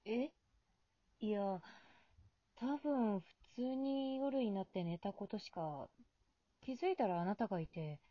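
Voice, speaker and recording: A woman's voice: medium pitch, a little slow, pauses often, dry recording, dull audio, lightly acted. A woman acting in an amateur radio drama as a weary, self-doubting young woman, speaking in a hesitant, subdued way. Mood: confused.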